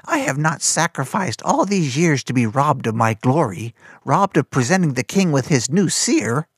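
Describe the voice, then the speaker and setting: A cruel old master of a storybook, put on by a male narrator, screeches and barks in a voice pitched far above the reader's own. He sneers and scolds with contempt, his tone leaping about. The sound is dry and close. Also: big pitch swings, normal speed, breathy edge, dry recording. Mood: harsh scornful. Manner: harsh, barking, contemptuous.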